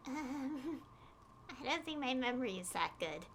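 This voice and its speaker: A woman's voice: high voice, sing-song, measured pace, background noise. A woman streaming a game, giving casual, informal commentary as she thinks aloud. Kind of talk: casual livestream gameplay commentary. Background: game music.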